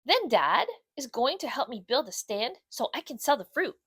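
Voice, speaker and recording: A woman's voice: on the high side, very animated, normal speed, fairly bright tone, slightly rough, dry recording, studio quality, heavily acted. A woman voicing a sweet, determined female bulldog in a children's storybook, speaking in an earnest, emphatic way. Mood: eager.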